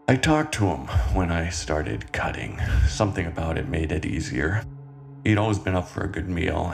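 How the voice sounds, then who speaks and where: A man playing a calm, brooding cannibal killer in a scripted horror monologue, speaking in a measured, deliberate way with dramatic pauses and a gravelly voice. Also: very deep voice, full character voice. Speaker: writer and narrator of a horror fiction podcast. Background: music soundtrack.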